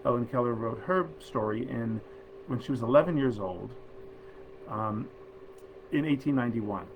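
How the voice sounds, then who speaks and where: A man's voice: medium pitch, normal speed, phone-quality sound, slight background noise. A man hosting a literary reading, speaking as a presenter and storyteller of old tales. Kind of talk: informal introduction, then storybook reading aloud.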